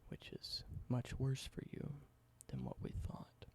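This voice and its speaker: A man doing a whispered ASMR roleplay, explaining things slowly and correcting himself now and then. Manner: soft whisper, slow explanations with small self-corrections. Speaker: ASMR creator.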